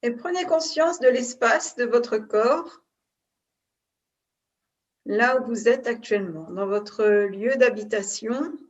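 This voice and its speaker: A woman yoga nidra instructor giving calm, unhurried guided relaxation in short phrases with pauses between them. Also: a little high. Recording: distant mic, dull muffled sound.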